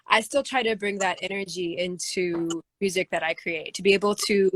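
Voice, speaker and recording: A woman singer-songwriter with a low voice, answering interview questions thoughtfully, with many fillers and restarts. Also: fairly bright tone, dry recording, poor audio. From United States.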